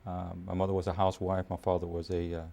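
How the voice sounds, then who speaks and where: Deep monotone guy in his 50s, army officer, lots of uhs and pauses, documentary interview. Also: gravelly. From Puerto Rico, raised in New York City. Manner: measured, with frequent pauses and fillers.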